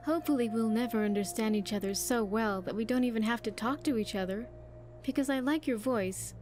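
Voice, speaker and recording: A woman's voice: on the high side, normal speed, noisy background, natural voice. A woman voicing an earnest, grateful young survivor in a video game, chatty and sincere with slightly stilted phrasing. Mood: playful, wistful. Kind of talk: video game character dialogue.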